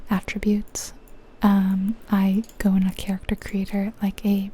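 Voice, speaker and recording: A woman whispering softly and breathily in a casual, chatty ASMR style as she comments on a game, gentle and musing. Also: mid-range voice, monotone, measured pace, breathy edge. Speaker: ASMR channel host.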